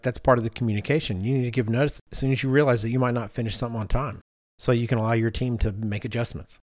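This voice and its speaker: A man's voice: mid-range voice, steady tone, racing, darkish tone, dry recording, clean studio sound. A man in his fifties hosting a conversational discussion, talking as a podcast host. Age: 50s. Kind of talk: conversational discussion, reading out responses.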